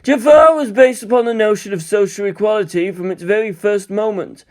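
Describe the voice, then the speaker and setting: A man performs an elderly monk in a high-pitched voice. He is a serene, learned storybook teacher who lectures in formal phrases. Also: a little fast, lightly acted. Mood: serene, explanatory. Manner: formal, explanatory.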